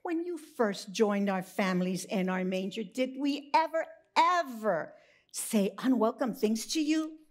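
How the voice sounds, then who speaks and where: A woman voicing an earnest, bossy rooster in a children's story, with a crowing, deliberate delivery. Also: on the high side, expressive. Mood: earnest, questioning.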